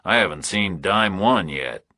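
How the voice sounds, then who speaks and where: A man's voice: deep voice, animated, a little slow, bright, forceful tone, husky, dry recording, full character voice. A man playing a tough ex-military investigator, clipped, dry and determined, in a thriller audiobook.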